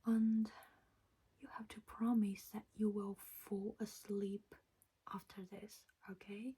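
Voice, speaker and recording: Non-native english lady whispering a bedtime story asmr style, super breathy, real slow. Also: medium pitch, little pitch movement. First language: not English. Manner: slow, hushed, deliberate.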